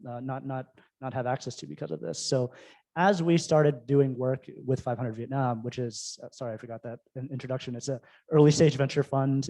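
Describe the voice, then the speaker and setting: A man's voice: medium pitch, mellow, poor audio. A man who works as a venture investor, speaking informally and conversationally on a panel.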